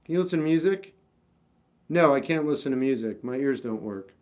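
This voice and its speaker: A man's voice: medium pitch, expressive, brisk, darkish tone. A man in his fifties chatting casually on a livestream, answering viewers with rambling, self-deprecating advice and lots of restarts. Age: 50s. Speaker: livestream host.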